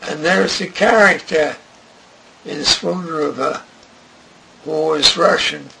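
An older man recalling his radio career in an interview, speaking haltingly and restarting often. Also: a little high, gravelly. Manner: slow, halting, with many restarts. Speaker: interviewee, radio writer and director. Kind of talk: reminiscing interview. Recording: noisy recording.

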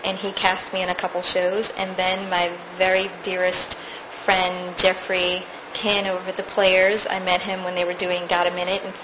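A woman's voice: fairly low pitch, monotone, moderate pace, few pauses, lo-fi sound, noisy background. A woman, a stage actress, recounting her theater career in a casual interview, laughing now and then.

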